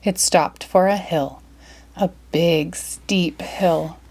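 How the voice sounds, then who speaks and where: A woman reading a children's picture book aloud in an expressive, dramatic storytelling style. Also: lowish voice, extremely slow, breathy edge. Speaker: storybook reader.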